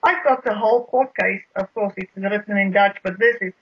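A South African guest with an Afrikaans accent, explaining history in a halting way with many repeated words. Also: mid-range voice, slight background noise. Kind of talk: radio interview, explaining history. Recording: dull lo-fi radio sound.

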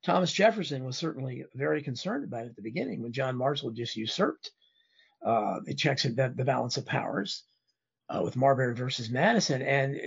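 Male guest commentator, hoarse and losing his voice, halting delivery, raspy and dull-sounding. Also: mid-range voice, expressive. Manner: rambling, halting with restarts. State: losing his voice, throat-clearing. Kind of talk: political interview.

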